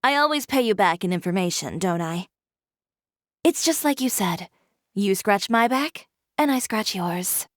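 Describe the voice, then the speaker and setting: A woman's voice: medium pitch, animated, unhurried, no room sound, clean studio sound, close to an everyday voice. A woman playing a blunt, composed young businesswoman in a video game, wry and matter-of-fact. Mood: cool, teasing. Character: cool, self-assured, blunt young businesswoman, protective of friends. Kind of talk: video game character acting.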